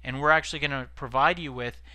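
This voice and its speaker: A man's voice: mid-range voice, fast talker, sharp tone, clear, resonant tone, no echo. A man giving informal instructional tips as a sales trainer, explaining conversationally and stressing key words.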